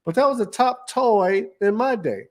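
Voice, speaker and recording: A man, co-hosting a podcast in a relaxed, joking way and telling stories at length. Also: high voice. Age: 50s. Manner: easygoing, joking, drawn-out storytelling. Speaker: podcast co-host. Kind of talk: casual chat.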